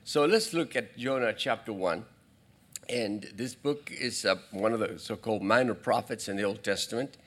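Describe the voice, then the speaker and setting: A male pastor preaching a sermon in a church, talking in a halting way with restarts and asides to the congregation. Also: fairly low pitch, a bit bright. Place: church sanctuary.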